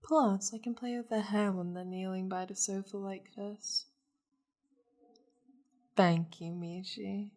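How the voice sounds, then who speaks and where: Demon noblewoman played by a woman for ASMR roleplay: slow, commanding delivery, possessive and flirtatious, with teasing, haughty endearments. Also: mid-range voice, fairly even intonation, a little mellow. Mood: smug, playful. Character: possessive, wealthy, flirtatious high-ranking demon lady.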